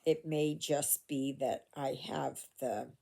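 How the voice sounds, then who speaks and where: A woman's voice: very deep voice, steady tone, takes it slowly, vocal fry, warm tone. An older woman from Canada chatting casually while she stitches, rambling with asides and fillers, recorded at home on a phone. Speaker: cross-stitch vlogger. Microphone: phone camera.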